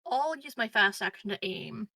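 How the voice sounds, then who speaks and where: A woman's voice: a little high, animated, moderate pace, bright, forceful tone, no room sound, clean studio sound. A woman player in a tabletop roleplaying game, thinking aloud through a turn in a casual way.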